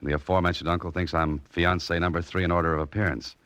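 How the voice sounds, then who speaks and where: An actor playing a wry, self-mocking mystery writer and adventurer in 1940s radio drama style, with dry, sardonic, clipped delivery. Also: male voice, deep voice, level tone, fast talker, a bit bright, dry recording. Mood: wry, cool. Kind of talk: 1940s radio drama acting with hard-boiled narration.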